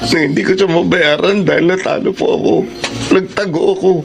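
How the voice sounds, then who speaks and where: A man's voice: high voice, steady tone, a little fast, creaky, music in the background. A young man playing a wayward, remorseful gambler son in a Filipino radio drama.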